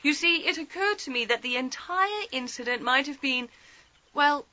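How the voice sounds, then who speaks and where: Lady sleuth, bright forceful tone, digressive mock politeness, needling, radio drama, lo-fi recording. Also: high voice, expressive, normal speed. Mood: probing, coy. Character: sharp, feigning-innocent lady detective, politely needling. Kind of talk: scripted radio mystery acting.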